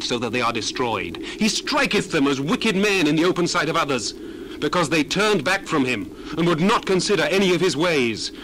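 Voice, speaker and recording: Man acting a declaiming, higher-pitched biblical orator. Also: a bit bright, a little hiss or hum, low-quality recording. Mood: solemn, declaiming. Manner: declamatory, rhetorical, solemn. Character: Elihu, earnest, admonishing biblical orator rebuking others. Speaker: dramatized Bible reader. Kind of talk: dramatized scripture reading in archaic English.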